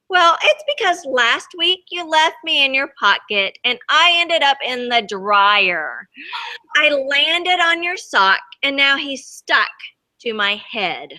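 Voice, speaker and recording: A woman reads out a wry little storybook crayon with a complaint. The voice is plaintive and bright, unhurried, moving expressively up and down. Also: high voice, exaggerated voice. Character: puzzled, complaining turquoise crayon stuck to a sock.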